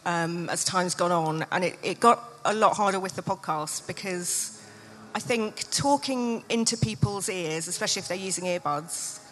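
A woman's voice: on the low side, moderate pace, fairly bright tone, slight background noise. A woman speaking candidly and reflectively in an unscripted live on-stage interview as an author and podcaster.

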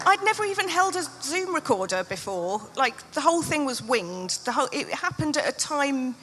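A woman's voice: high voice, very animated, moderate pace, a bit bright, a little hiss or hum. A woman speaking candidly and reflectively in an unscripted live on-stage interview as an author and podcaster.

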